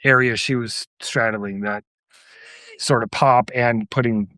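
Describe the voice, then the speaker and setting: A man's voice: medium pitch, fairly even intonation, a little slow, sharp tone, no room sound. A man on a podcast panel, chatting casually about pop music.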